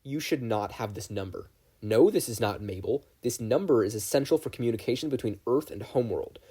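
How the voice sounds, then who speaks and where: A matter-of-fact young protector, voiced by a man narrating fiction. He is formal, firm and deadpan, with a husky edge to a mellow voice. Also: mid-range voice, fairly quick, lightly acted. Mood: cold, firm.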